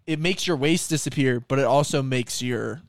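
A young man who works as a fitness coach, co-hosting a casual podcast with animated, quick reactions. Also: mid-range voice, dry recording. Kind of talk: casual chat.

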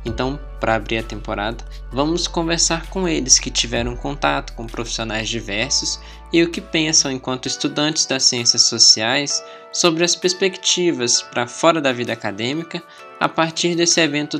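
A young Brazilian man, hosting a podcast with a clear, welcoming delivery. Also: mid-range voice, moderate pace, a bit bright, soft background music. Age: young adult. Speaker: podcast host, anthropology student. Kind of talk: podcast hosting, scripted intro.

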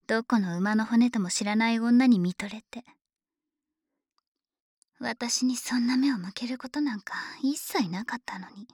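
An obsessive dragon maid, acted by a woman, murmurs in a high, breathy voice for an ASMR drama. She speaks slowly and politely, like a devoted servant, with a teasing, possessive menace. The sound is very close and dry. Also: no room sound. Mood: menacing, jealous. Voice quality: breathy, whispery. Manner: slow, honorific servant speech, softly teasing. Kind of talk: Japanese ASMR situation voice drama. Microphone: close microphone, dry studio recording.

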